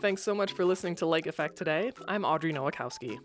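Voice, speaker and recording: Female radio host, scripted closing promos, smooth and polished. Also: low-pitched, animated, fast talker, loud music behind the voice. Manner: smooth, promotional sign-off read.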